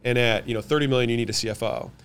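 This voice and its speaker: A man whose first language is French, answering interview questions about running companies, confident and quick, listing his points one after another. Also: medium pitch, fairly even intonation, a bit bright, smooth. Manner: fast, confident, lists points in quick runs. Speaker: guest, business owner.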